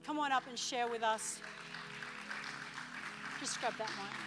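A woman's voice: a little high, measured pace, a crowd in the background. A woman pastor giving enthusiastic church announcements from the pulpit, emphatic and calling out to the congregation. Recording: reverberant church hall with music behind.

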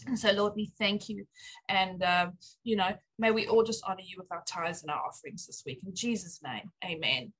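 A woman of forty-nine shares testimony and prayer with her church. Her voice is husky and mid-pitched, and she talks in a heartfelt, conversational, encouraging way. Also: normal speed, a bit bright, low-quality recording. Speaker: church member giving testimony and offering prayer.

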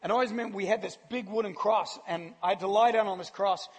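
Croaky-voiced man preaching. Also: sits high, quick pace. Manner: chatty, self-deprecating, laughs often. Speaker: guest speaker. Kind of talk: casual, joking church talk. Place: large church hall. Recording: live church hall with long reverb.

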